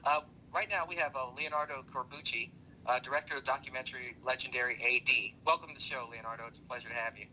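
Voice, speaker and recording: A man hosting a radio talk show, interviewing guests in a relaxed way, with plenty of "uh" and "um" between words. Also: medium pitch, fast, a little hiss or hum, rough recording. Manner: filler-heavy, friendly, conversational.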